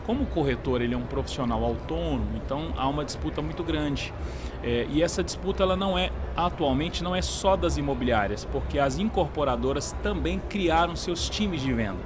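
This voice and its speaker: A man's voice: fairly high pitch, expressive, brisk. Middle-aged man, noisy fair interview. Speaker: interview guest, real estate executive. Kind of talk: conversational podcast interview. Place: busy trade fair stand. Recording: clear urban ambient noise at trade fair.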